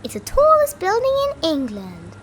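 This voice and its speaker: A woman's voice: extremely high pitch, huge pitch swings, normal speed, slight background noise, voice pushed up, exaggerated voice. A woman voicing a friendly, eager barge who shows off the sights in a children's storybook, announcing each one with emphasis. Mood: cheerful, proud.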